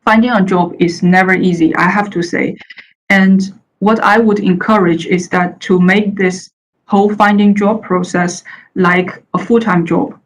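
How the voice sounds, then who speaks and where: A woman's voice: medium pitch, steady tone, unhurried, low-quality recording. A woman from China whose first language is Chinese, giving a presentation talk full of fillers and restarts. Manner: hesitant, many uh fillers and restarts. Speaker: panel speaker. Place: the Netherlands.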